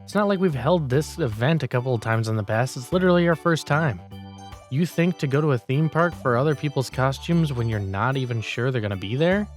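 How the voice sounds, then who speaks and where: A man acts out a lazy male office senior in a manga cartoon. He is blunt and critical, explaining and grumbling with animated pitch movement, with music behind him. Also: brisk, natural voice. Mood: matter-of-fact, critical. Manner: matter-of-fact, grumbling, critical. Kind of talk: manga dialogue voice acting.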